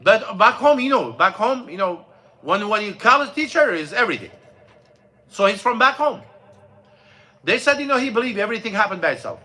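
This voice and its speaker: Bright-voiced man telling a story. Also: high-pitched, big pitch swings. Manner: storytelling, halting with many fillers and restarts. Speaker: imam. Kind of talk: mosque class talk. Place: mosque classroom.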